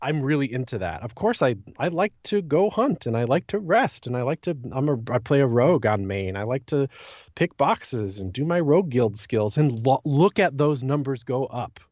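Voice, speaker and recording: A man's voice: medium pitch, animated, moderate pace, darkish tone, dry recording, muffled recording. A man talking casually on a podcast in an informal discussion. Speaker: podcast co-host or guest. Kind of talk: casual chat.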